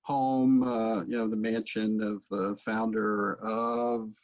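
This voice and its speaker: A man's voice: on the low side, flat delivery, takes it slowly, a little mellow. Dull-sounding man hosting a video call. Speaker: host, interviewer. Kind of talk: casual moderating talk. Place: book-lined home study, video call.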